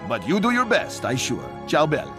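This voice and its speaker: Flamboyant, pompous centaur prince. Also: male voice, fairly high pitch, wildly swooping pitch, fairly quick. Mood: pompous, boastful. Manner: pompous, mocking, inverted word order. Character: haughty, flamboyant aristocratic male centaur prince. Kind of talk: video game character acting. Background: music.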